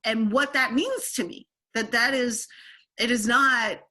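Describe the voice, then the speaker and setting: A middle-aged woman hosting an interview podcast, talking in an animated way with many restarts and asides. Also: on the high side, a little slow. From born in Turkey to an American family. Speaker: podcast host. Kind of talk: conversational interview.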